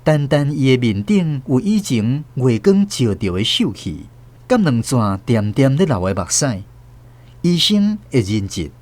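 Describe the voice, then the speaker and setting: A man narrating a radio drama as host, with expressive storytelling. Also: medium pitch, measured pace.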